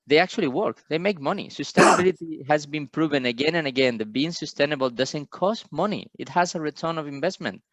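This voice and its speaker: A man's voice: mid-range voice, a bit bright, no echo, highs cut off. Passionate man on a call. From Spain. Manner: passionate, rhetorical questions. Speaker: panel speaker. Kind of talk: online panel discussion.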